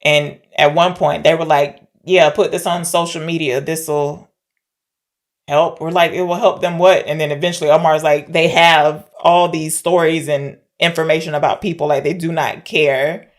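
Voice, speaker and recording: A woman's voice: low voice, steady tone, moderate pace. A woman co-hosting a casual film discussion in an informal, hesitant, conversational way. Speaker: podcast co-host reviewing films.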